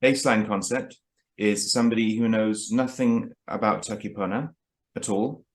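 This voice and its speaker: A man's voice: lowish voice, fairly even intonation, normal speed. A man hosting a casual interview, asking questions with a hesitant, friendly manner and frequent fillers. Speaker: host. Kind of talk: casual interview over video call.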